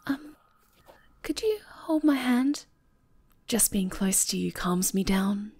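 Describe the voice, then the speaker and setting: A woman's voice: medium pitch, expressive, slow pace, darkish tone, slightly rough, heavily acted. A woman playing a shy, anxious young moth girl in an ASMR roleplay, hesitant and stammering. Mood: insecure, soft.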